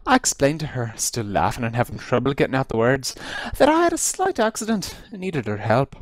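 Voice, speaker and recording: A man reads a comic first-person tale with highly animated, swooping intonation. His delivery is theatrical and emphatic, stretching out key phrases for effect. The sound is close and dry, as from a computer microphone on voice chat. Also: brisk, no echo. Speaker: amateur reader of a comic story. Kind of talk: dramatic read-aloud of a humorous first-person story. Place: recorded over an online voice chat.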